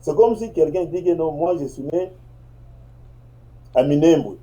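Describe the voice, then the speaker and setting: A man's voice: a little high, expressive, unhurried, dark tone. A man, a guest on a political talk show, explaining at length and often checking that he is understood. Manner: explanatory, repeats phrases, checks in with "tu comprends?". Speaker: guest commentator.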